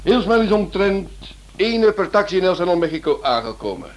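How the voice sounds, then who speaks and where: An actor playing a shrewd, courteous writer and amateur sleuth in a radio play, with an animated, probing manner. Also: male voice, high voice. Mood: brisk. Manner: animated, polite, probing, swings between brisk and hesitant. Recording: noisy old radio drama recording.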